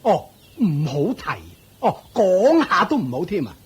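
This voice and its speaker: An actor playing a mocking, contemptuous shopkeeper uncle in a Hong Kong Cantonese radio drama, drawling out sneering taunts. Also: male voice, high voice, big pitch swings, a little slow, husky, heavily acted. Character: mocking, contemptuous shopkeeper uncle scolding his poor nephew.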